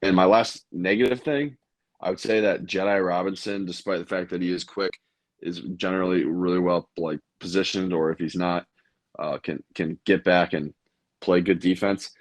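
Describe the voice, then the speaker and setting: A young man with a raspy, tired voice, hosting a casual sports chat and rambling with many fillers. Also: sits low, fairly even intonation, moderate pace, no room sound. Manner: rambling, lots of fillers and restarts. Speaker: podcast host.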